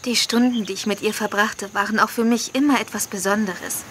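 A woman's voice: medium pitch, steady tone, fairly quick, a bit bright, lightly acted. A woman playing a kind, reassuring young woman in a radio drama. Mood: wistful, concerned. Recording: clear background ambience noise.

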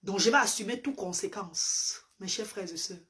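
A woman's voice: mid-range voice, normal speed, very noisy. A woman, a Medumba speaker, giving a fervent, preacherly live-stream address full of emphatic repetitions. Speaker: live-stream host and parent advocate. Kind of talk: impassioned social-media live address. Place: small indoor room, seated on a sofa. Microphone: phone camera at close range.

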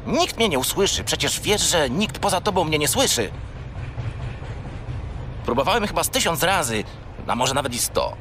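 An actor playing a naughty, chatty little furry companion who rambles and whines naively in a children's radio play. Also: male voice, a little high, sing-song, normal speed, pauses often, noisy background. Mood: whiny, pleading. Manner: rambling, naively evasive, whiny.

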